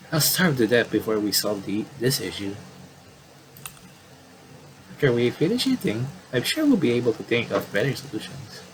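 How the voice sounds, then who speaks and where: A man voicing a worried, affectionate young sibling from a horror game in an earnest, halting way. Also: on the low side, background noise.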